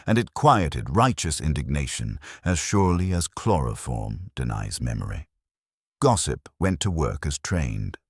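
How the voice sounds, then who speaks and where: A man narrating a wry mystery story in a literary, measured, dryly ironic manner. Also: low-pitched, no room sound, clean studio sound.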